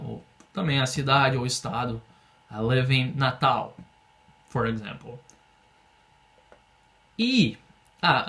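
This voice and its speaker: A young man from Brazil teaching an English lesson in Portuguese, explaining patiently and having students repeat phrases slowly. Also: mid-range voice, a bit bright. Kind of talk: online language lesson.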